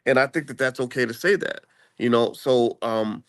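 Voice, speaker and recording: Gravelly-voiced man chatting casually. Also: medium pitch, normal speed, no room sound, clean studio sound. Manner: rambling, restarts, conversational. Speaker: channel host. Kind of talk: casual political chat. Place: traveling, in a room before a flight.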